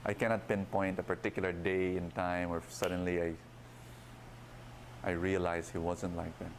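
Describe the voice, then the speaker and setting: A man with a very deep voice gives a reflective faith testimony, building up through repeated phrases. A faint hiss sits under the clip, played from an earlier recording. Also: flat delivery, moderate pace.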